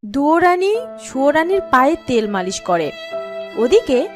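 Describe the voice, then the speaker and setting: A woman's voice: high voice, very animated, normal speed, faint music behind. A woman narrating a scripted fairy tale as a storyteller.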